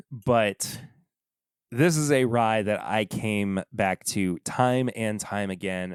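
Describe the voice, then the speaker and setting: A slow, easygoing man with a fairly low voice talks through his picks on his own podcast, often stopping to restart his thoughts. His voice sounds smooth and clear, and the recording is dry and close, with no room sound. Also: no room sound. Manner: rambling, halting, self-interrupting. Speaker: podcast host reviewing whiskey. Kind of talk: casual solo podcast talk. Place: home desk.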